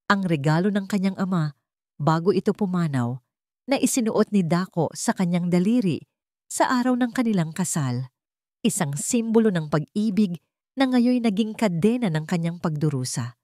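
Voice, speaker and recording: A woman's voice: medium pitch, warm tone, no room sound. A woman storyteller reading a scripted, dramatic story in a close voice-over.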